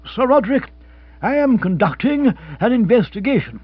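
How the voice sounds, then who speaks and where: A man's voice: extremely high pitch, big pitch swings, moderate pace, slightly rough, no room sound, dull audio, pitched above the performer's own voice, exaggerated voice. A man reading an audiobook, voicing a stiff, pompous older chief constable with a clipped, officious manner. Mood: haughty.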